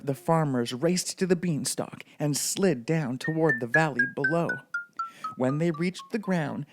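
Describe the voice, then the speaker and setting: Slow-paced man reading a bedtime story. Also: a little high. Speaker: storybook reader.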